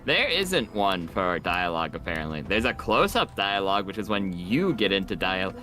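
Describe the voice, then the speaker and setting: A man's voice: low voice, very animated, normal speed, bright, forceful tone. A man guiding friends through a video game in casual streamer chat. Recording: game music behind voice. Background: game music.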